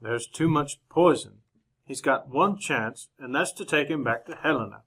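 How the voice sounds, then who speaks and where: An actor playing a composed, educated gentleman traveler in an old-time radio western, speaking in a measured, dry way. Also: medium pitch, slightly rough. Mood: calm, grave. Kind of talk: old-time radio drama acting and narration.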